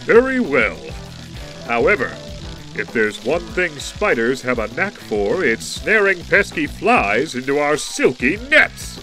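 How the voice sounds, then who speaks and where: Wisecracking young superhero, male actor: very animated, unhurried, heroic and folksy, for a campy audio drama. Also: fairly high pitch, music in the background, rough recording. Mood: defiant.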